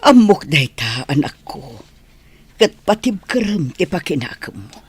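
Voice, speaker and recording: A woman's voice: deep voice, very animated, a little slow, breathy edge, no echo, heavily acted. A woman acting an older mother consoling her grown son in an Ilocano radio drama.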